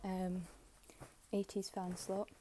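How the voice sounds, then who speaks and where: A young woman with a slightly hoarse voice, giving low-key, halting commentary on an unboxing. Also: monotone, warm tone, slight background noise. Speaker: K-pop album unboxing vlogger. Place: bedroom, filming items on a bed.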